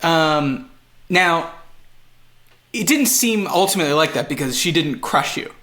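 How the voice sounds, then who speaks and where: A man's voice: fairly high pitch, expressive, a little slow, pushed and bright. A man from Montreal chatting casually and jokingly as a podcast co-host, swearing now and then. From Montreal, Canada. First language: English. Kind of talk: casual comedic podcast chat.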